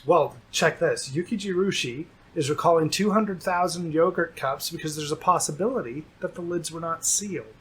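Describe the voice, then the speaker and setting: A man's voice: a little high, normal speed, hardly any pauses, fairly bright tone, breathy edge. A man co-hosting a comedy news show, delivering jokes dryly and teasing his co-host. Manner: dry joking delivery, playful teasing. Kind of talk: scripted comedy news banter.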